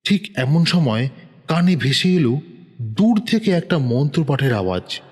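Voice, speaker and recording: A man's voice: on the high side, sing-song, a little slow, darkish tone, breathy edge. A man narrating a horror story in the first person, in a tense, urgent way.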